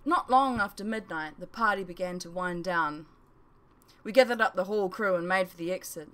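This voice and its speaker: Low-voiced woman narrating a novel. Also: fairly bright tone. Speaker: author reading her own audiobook. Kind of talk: first-person storybook narration.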